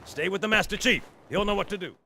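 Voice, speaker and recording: An actor playing a gruff, folksy, cocky marine sergeant who barks rousing orders with theatrical swagger in a game. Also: male voice, sits high, fast talker, very noisy. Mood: steady, reassuring. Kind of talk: video game cutscene acting. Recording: loud music over the dialogue.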